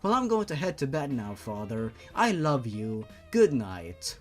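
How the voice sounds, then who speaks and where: A man's voice: medium pitch, sing-song, measured pace, soft background music. A man voicing an affectionate young daughter from a romance story, with earnest, exaggerated sweetness. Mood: fond.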